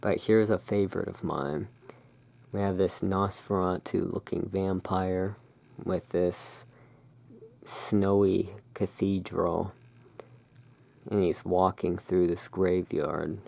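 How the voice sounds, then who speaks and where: A person speaking in a halting, musing way, explaining things as the owner of a gothic curiosity shop in an ASMR roleplay. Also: sits low, creaky, mellow, no echo. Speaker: roleplaying host. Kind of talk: ASMR roleplay, showing tarot cards.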